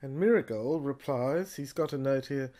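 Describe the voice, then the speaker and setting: A woman playing a weary researcher in an audio drama, haltingly reading out translated fragments and repeating phrases. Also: very deep voice, warm tone. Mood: puzzled, halting. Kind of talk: amateur serial audio drama acting, halting read-aloud dialogue.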